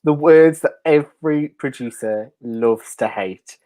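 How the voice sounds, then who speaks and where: A man's voice: on the high side, measured pace, warm tone. A man interviewing a guest casually as the host of a chat show.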